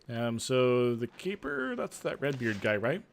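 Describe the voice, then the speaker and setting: A man playing a clueless young recruit in a fantasy game, halting and dopey as he asks questions. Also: medium pitch, huge pitch swings, gravelly, a little hiss or hum, exaggerated voice. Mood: confused. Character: clueless, hesitant young recruit on first day.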